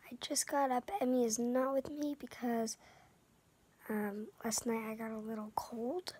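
A woman's voice: on the high side, little pitch movement, a little slow, a little mellow, breathy edge. A young girl with a sore throat and runny nose, whispering and chatting as she co-hosts a casual vlog from bed, filmed on a phone held at arm's length. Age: child. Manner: chatty, rambling, often whispering. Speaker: vlog co-host. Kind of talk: casual vlog chat to camera. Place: bedroom, lying in bed. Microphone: phone front camera at arm's length.

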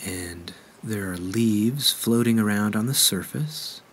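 A man leading a guided meditation in a gentle, unhurried way with measured pauses. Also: on the low side, breathy edge.

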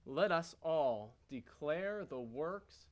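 A man reading scripted scripture in church readers theater, in a measured, declamatory way. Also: fairly high pitch, lively intonation. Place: church stage before a congregation. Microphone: stand microphones.

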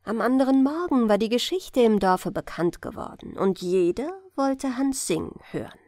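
A woman narrating a fairy tale as a gentle bedtime audiobook reading. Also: a little high, expressive, no room sound, clean studio sound. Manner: slow, melodic storytelling.

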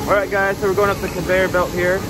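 A man in his thirties filming himself on an indoor ski slope with a handheld camera at arm's length, talking in a relaxed, offhand way. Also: sits high, quick pace, music in the background, poor audio. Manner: relaxed, offhand commentary while skiing. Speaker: vlogger. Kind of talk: casual vlog talk. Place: large indoor ski hall.

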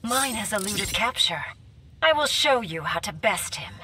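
An actress playing a proud, resolute young warrior princess in a video game, speaking in formal, measured commands. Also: female voice, medium pitch, big pitch swings, distant mic, heavy background noise. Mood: stern, composed. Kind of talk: scripted video game fight dialogue.

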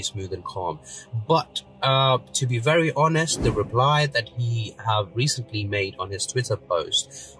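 A man's voice: medium pitch, sharp tone, poor audio. A man in his thirties, born in Pakistan with Urdu as his first language, earnestly talking in a parked car, recorded on a phone, with many fillers. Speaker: commentator. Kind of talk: casual video rebuttal.

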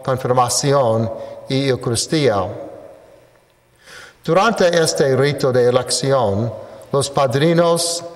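A man in his sixties, a priest, reading the gospel and preaching at Mass into a lectern mic in a church. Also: mid-range voice. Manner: slow, deliberate reading from a book. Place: church sanctuary, indoors. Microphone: stand mic at a lectern. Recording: reverberant church sound.

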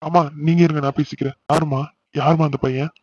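A man's voice: on the high side, fairly even intonation, fast talker, dull audio, heavy background noise, close to an everyday voice. A man playing a protective, emotional young older brother in a family drama, earnest and insistent. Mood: stern, insistent. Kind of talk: melodramatic family audio drama.

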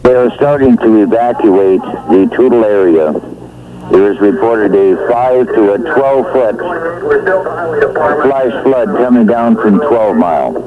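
A man's voice: on the low side, unhurried. A man delivering a formal emergency report over the radio in an archive recording from 1980. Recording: tinny 1980 radio recording, background chatter.

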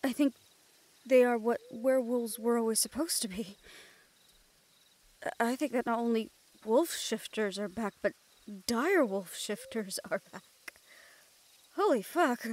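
A brash young woman, voiced by a woman who swears freely and talks eagerly. Her voice sits fairly high. She sounds curious and fiercely protective. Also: a little mellow, close to an everyday voice. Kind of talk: audio roleplay acting.

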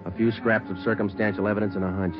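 An actor playing a terse, matter-of-fact police detective who also narrates, in 1940s radio acting style. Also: male voice, fairly low pitch, flat delivery, fast, natural voice. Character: terse male police detective, clipped and businesslike. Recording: muffled old radio broadcast with music.

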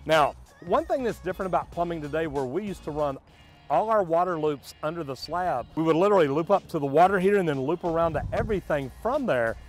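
Folksy middle-aged plumber outdoors. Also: male voice, a little high, expressive, quick pace, soft background music. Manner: folksy, explanatory, addresses viewers directly. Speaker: plumber, channel host. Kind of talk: on-site walkthrough explaining. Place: outdoors at a building site on a concrete slab.

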